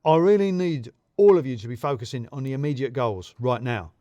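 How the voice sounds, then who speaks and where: A man's voice: medium pitch, lively intonation, a little fast, dark tone, no echo, dull audio, natural voice. A man voicing a self-important male office boss in an audiobook story, speaking in a pompous, emphatic, jargon-heavy way. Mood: brisk, officious.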